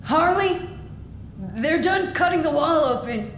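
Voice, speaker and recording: A woman playing a restless, sidelined team member in an audio drama, sullen and sarcastic, heard distant and echoey over a noisy rumble. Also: expressive, measured pace.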